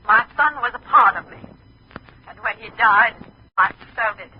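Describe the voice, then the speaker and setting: A woman's voice: on the high side, normal speed, natural voice. A woman playing a solemn, melodramatic wealthy mother speaking on the telephone in a 1950s radio drama. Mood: grave, mournful. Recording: dull, noisy old radio telephone scene.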